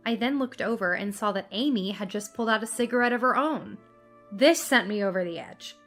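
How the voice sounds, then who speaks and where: A woman's voice: mid-range voice, fairly quick, a bit bright, soft background music. A woman reading a scripted first-person story as its narrator.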